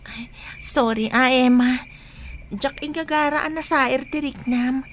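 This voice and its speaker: A woman's voice: sits high, takes it slowly, a little hiss or hum. A woman playing a friendly, bashful young village confidante in an Ilocano radio drama. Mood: apologetic.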